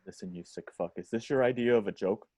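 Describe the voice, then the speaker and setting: An irritable, brash movie actor as a man reads him in an amateur film script reading. His voice sits fairly low in a dry recording, with casual, halting phrasing. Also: darkish tone, no room sound, lightly acted. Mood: angry, threatening. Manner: casual, halting, swearing when annoyed.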